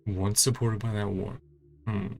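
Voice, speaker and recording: A man's voice: fairly low pitch, little pitch movement, measured pace, vocal fry, faint music behind. A man doing casual playthrough commentary on a video game, speaking in clipped fragments and murmured reactions. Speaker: gaming channel host.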